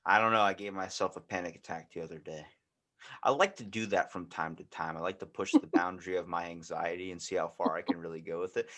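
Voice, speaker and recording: A man with a low, deep voice talks casually as a podcast host. He muses in a rambling, conversational flow, leaning hard on certain phrases. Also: fairly even intonation, fast talker, a bit bright. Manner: rambling, musing, emphatic, peppered with "like".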